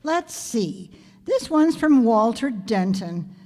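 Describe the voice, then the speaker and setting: Woman acting a high-voiced wisecracking young schoolteacher, echoey hall. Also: very animated, slow, warm tone. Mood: dry, joking. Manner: dry, sardonic delivery. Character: wry, wisecracking young female English teacher. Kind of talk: old-time radio comedy acting. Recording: echoey hall, live stage reading.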